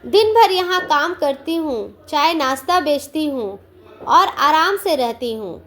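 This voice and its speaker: Lady doing an old tea stall granny from a storybook, cheerful, high voice, takes her time. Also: expressive, slow, fairly bright tone, full character voice. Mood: resigned, contented. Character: cheerful, self-reliant old woman running a tea stall.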